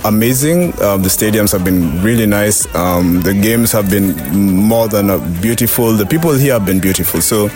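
A young Kenyan man talks casually as a panel guest. His voice sits low, and music is clearly audible behind him. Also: normal speed, few pauses. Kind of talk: casual conversation.

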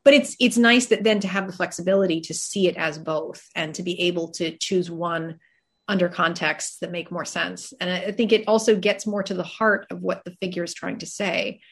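A woman speaks in a reflective, conversational way. Her voice is a little low for a woman and slightly husky. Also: steady tone, moderate pace. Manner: reflective, conversational, light laughs. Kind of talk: casual discussion.

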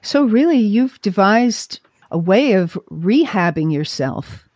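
A woman hosting an interview, asking explanatory questions and restating answers in a measured, clarifying way. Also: mid-range voice, very animated, darkish tone, dry recording. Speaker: podcast host, interviewer.

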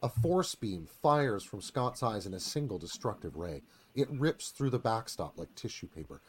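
A man narrates a screenplay for radio theatre. His voice is on the low side, with animated rises and falls and a dramatic delivery. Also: moderate pace, slight background noise.

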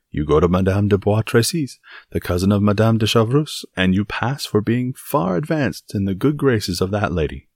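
A man acts out a blustering musketeer from a classic adventure audiobook. His pitch swings widely from low rumbles to high exclamations, and he sounds boastful, theatrical and very pleased with himself. The recording is dry and close. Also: a little mellow, no echo. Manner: self-sufficient, exclamatory, theatrical. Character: boastful, blustering male musketeer, loud and self-satisfied. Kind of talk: dramatised audiobook reading of a classic adventure novel.

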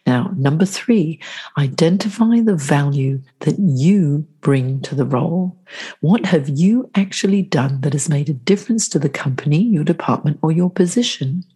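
A middle-aged woman hosts a solo advice podcast, her voice low and dark. She is congested and throaty from the flu, so the sound comes out husky. She talks in a chatty, coaching way and keeps asking the listener questions. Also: animated, normal speed. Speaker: podcast host.

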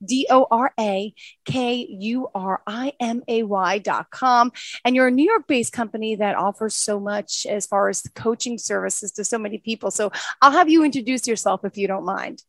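Effusive higher-voiced woman podcast host. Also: moderate pace, no echo. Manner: effusive and gushing, uses endearments. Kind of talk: interview chat.

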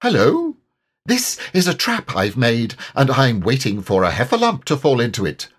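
A man voicing a tiny, timid young piglet with a high, squeaky, tremulous voice, in a children's storybook reading. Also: wildly swooping pitch, moderate pace, breathy edge. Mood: careless, grand. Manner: anxious, tremulous, stammering.

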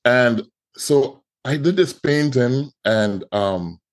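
A man's voice: medium pitch, slow pace. A middle-aged man with a Trinidadian accent, telling his story as a podcast guest, often repeating words as he goes. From Trinidad and Tobago. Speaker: guest artist. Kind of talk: podcast interview.

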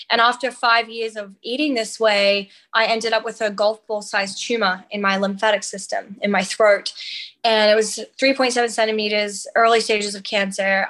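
A woman from the outback, a guest in a podcast interview, telling her story in lively conversation. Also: mid-range voice. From Australia. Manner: animated storytelling with light laughs. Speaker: guest nutritionist.

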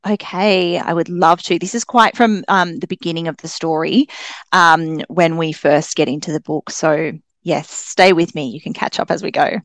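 Aussie woman, bit low pitched, chatty keen podcast guest, very dry sound. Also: fairly even intonation, moderate pace, no room sound. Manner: chatty, enthusiastic. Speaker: guest, children's author. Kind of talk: casual book podcast conversation.

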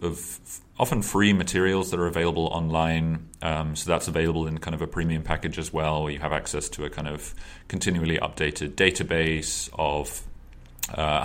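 A man's voice: rumbling low, fairly bright tone. A man hosting a casual conversation, talking loosely with lots of ums and hesitations. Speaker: podcast host.

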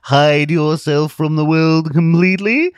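A man voicing a manipulative talking refrigerator that speaks in a slow, insinuating voice. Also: on the high side, clear, resonant tone, dry recording, studio quality, voice pushed up, close to an everyday voice.

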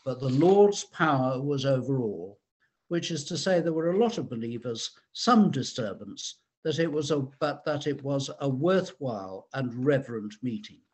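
A man reading a researched historical account aloud in a measured, formal way, with the occasional stumble. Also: mid-range voice, lively intonation, darkish tone, slightly rough. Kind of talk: scripted historical narration, read aloud.

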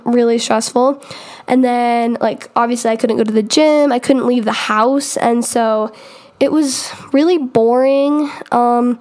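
A woman's voice: fairly high pitch, darkish tone. A young woman hosting her own podcast, talking casually with lots of fillers and restarts while getting over an illness. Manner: chatty, rambling, many "um" and "like" fillers. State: recovering from illness, congested. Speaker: podcast host. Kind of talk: casual solo podcast chat.

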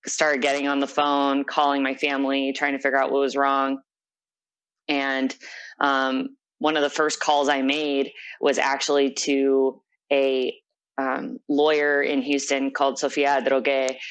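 A woman's voice: extremely low pitch, clear, resonant tone. A young adult woman being interviewed, telling a personal story in an earnest, reflective way. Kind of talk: personal account in conversation.

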